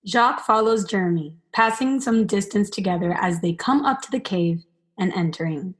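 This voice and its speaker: A woman's voice: medium pitch, normal speed. Young woman, mid-range voice, scripted narration, stage directions, radio play. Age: young adult.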